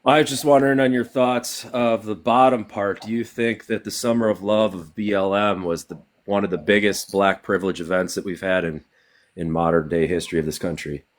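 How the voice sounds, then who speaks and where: Male caller, deep voice, assertive, lists points firmly. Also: fairly even intonation, a little fast. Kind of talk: casual political debate.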